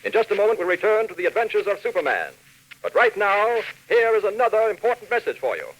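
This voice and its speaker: A man's voice: high voice, big pitch swings, fast, a bit bright, rough recording. An American man announcing a 1940s radio serial with dramatic, urgent scripted narration.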